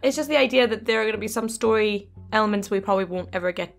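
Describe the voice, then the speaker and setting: Young female co-host, mid pitch, voice wearing thin, hesitant and reflective, soft music behind. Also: rapid-fire, gravelly. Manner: thoughtful, hesitant, laughs often. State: losing her voice. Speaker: gaming channel co-host. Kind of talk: casual gaming discussion. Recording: faint background music.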